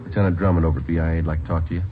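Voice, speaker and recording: Very deep terse detective, old radio. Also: male voice, rapid-fire. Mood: businesslike. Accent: American. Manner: terse, deadpan, understated. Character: terse, level-headed male police detective sergeant. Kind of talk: 1950s radio drama acting. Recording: old radio, narrow band, noisy.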